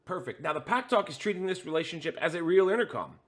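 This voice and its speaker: A man with a fairly high voice walks through a demonstration like a tutorial host. He goes step by step, points at each device as he explains it, and fills his pauses with frequent "uh"s. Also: animated, a bit bright. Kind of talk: how-to tutorial explaining gear setup.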